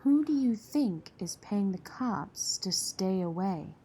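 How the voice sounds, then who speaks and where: A woman's voice: mid-range voice, expressive, takes it slowly, mellow, a little hiss or hum. A woman playing a tough, jaded relief center volunteer in an audio drama, blunt and world-weary. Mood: bitter, cynical.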